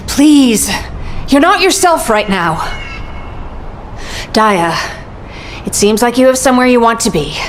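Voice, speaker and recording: An actress voicing a caring middle-aged mother, a former medical corps captain, speaking gently and pleadingly in a game cutscene. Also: on the high side, a little hiss or hum.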